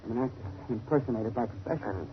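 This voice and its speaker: A man's voice: medium pitch, lightly acted. Guy doing a dying man gasping out words, midrange voice, old muffled radio drama w hiss. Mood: weak, desperate. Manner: halting, labored, gasping delivery. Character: dying man, a shot professional impersonator, labored and desperate. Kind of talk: 1930s radio acting. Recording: muffled, noisy 1930s radio recording.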